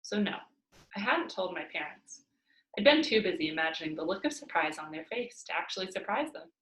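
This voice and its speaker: Female read-aloud narrator for children's fiction; very lively intonation, roomy home sound. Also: on the low side, a little fast. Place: indoors at home by a fireplace.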